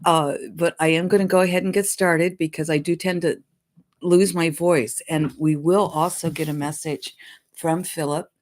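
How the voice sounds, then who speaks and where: A woman psychic giving her weekly message in a casual way, her voice deep and hoarse from congestion as she recovers from illness. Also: steady tone, normal speed, a little mellow. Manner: chatty, apologetic, clears throat. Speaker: psychic channeling a spirit guide.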